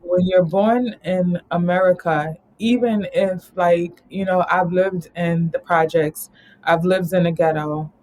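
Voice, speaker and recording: A woman's voice: lowish voice, steady tone, measured pace, a little mellow, low-quality recording. A woman from New York talking casually as an interview guest, rambling and laughing often. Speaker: guest, travel company founder.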